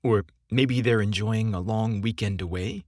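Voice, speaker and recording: A narrator voicing a pensive, curious man, slightly husky. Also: fairly low pitch, measured pace, darkish tone, no room sound, studio quality. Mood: wondering. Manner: wondering, musing to himself. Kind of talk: storybook character dialogue.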